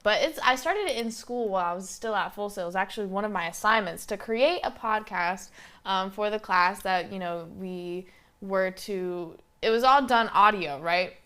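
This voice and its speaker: A woman's voice: mid-range voice, moderate pace, fairly bright tone, clear, resonant tone. A young woman in her twenties with a slight Southern twang, hosting her podcast in a chatty, rambling way with lots of fillers. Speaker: podcast host. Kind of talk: casual solo podcast monologue.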